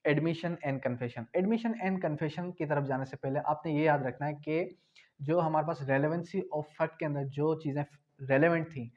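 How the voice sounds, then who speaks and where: A man from Pakistan giving an exam-prep law lecture, asking questions and then answering them, spelling out key terms slowly. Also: fairly high pitch, a little mellow, dull audio. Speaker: law tutor.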